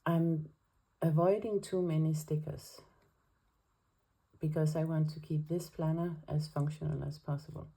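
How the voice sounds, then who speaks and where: A woman's voice: deep voice, fairly even intonation, measured pace, creaky, warm tone, breathy edge. A woman from Denmark with a Danish accent, speaking English as a second language, chatting hesitantly while setting up her weekly planner. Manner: hesitant, many fillers, careful. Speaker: planner vlogger. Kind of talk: casual chat while planning.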